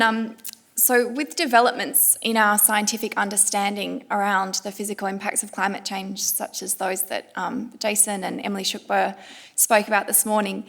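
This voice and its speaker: Aussie woman explaining stuff on a conference panel, bit of vocal fry, kinda low voice. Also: fairly bright tone. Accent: Australian. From Australia. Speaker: panel speaker, director of a climate law non-profit.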